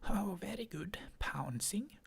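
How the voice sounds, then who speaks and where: Prim, fretful advisor bird, done in a reader's whisper; slow and husky. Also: medium pitch, animated, pitched below the performer's own voice, full character voice. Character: fussy flustered male hornbill advisor bird.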